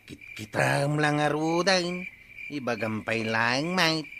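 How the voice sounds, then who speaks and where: A drawling village watch chief with an Ilocano accent in a radio comedy. He speaks very slowly in a gravelly voice with a bright, pinched edge. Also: a little high, a little hiss or hum, exaggerated voice. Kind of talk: comic radio drama acting.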